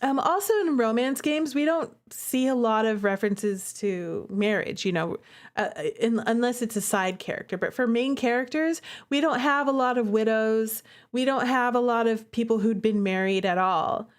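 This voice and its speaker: A woman streamer giving casual, expressive and giggly commentary on a game she made herself. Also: fairly high pitch, moderate pace.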